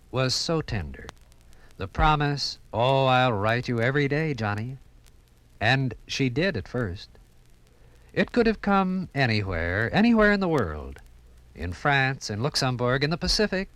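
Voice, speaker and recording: Casting: male radio narrator, mid-range voice, measured and dramatic, old-time broadcast delivery. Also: mid-range voice, a bit bright, dry recording. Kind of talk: 1950s scripted radio documentary narration.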